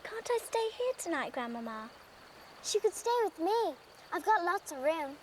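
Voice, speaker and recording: A young girl acting an eager, warm-hearted child of the Alps in a film drama. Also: very high voice, sing-song, moderate pace, slight background noise. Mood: eager, pleading. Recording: film soundtrack with music behind.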